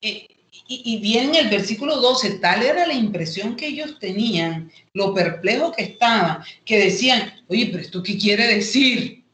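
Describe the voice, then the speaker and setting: A woman with a Colombian Caribbean coast accent teaching an online Bible lesson, explaining haltingly and repeating phrases as she goes. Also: medium pitch, expressive, fairly bright tone, a little hiss or hum. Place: indoor room, online class. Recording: echoey room, online call audio.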